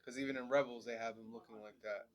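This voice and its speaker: A man co-hosting a casual review chat, with a stuffy, nasal voice from allergies and a relaxed, chatty manner full of "um"s. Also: mid-range voice, quick pace. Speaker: podcast co-host.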